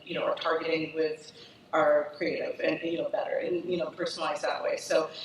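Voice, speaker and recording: A woman's voice: low-pitched, level tone, brisk, a little hiss or hum. A woman speaking casually and a bit hesitantly as a marketing panelist. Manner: casual, hesitant, light laugh. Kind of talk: panel discussion. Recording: echoey hall, distant mic.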